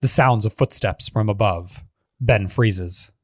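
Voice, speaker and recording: A man's voice: fairly low pitch, takes it slowly, dry recording, studio quality. A man giving scripted narration, reading a screenplay's stage directions as the narrator of a table read.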